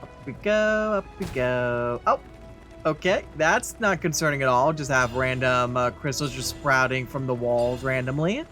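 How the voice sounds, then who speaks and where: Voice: mid-range voice, measured pace, fairly bright tone. A let's play host giving casual game commentary, muttering reactions aloud along the way. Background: game music.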